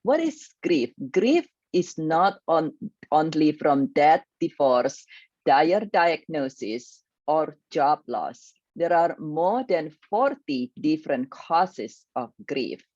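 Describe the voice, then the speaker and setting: Indonesian-accented woman coaching through grief, measured and motivational, dry close sound. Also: sits low, sing-song, darkish tone, dry recording, lo-fi sound. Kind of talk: online workshop presentation.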